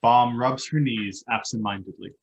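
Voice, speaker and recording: A man reading out stage directions as scripted narration for a play, with a husky voice. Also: medium pitch, moderate pace.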